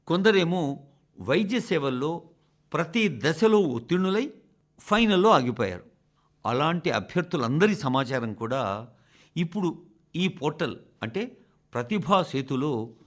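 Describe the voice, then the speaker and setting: Expressive, high-pitched man reading an address. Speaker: radio reader of a translated national address. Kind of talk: scripted broadcast narration, oratorical address.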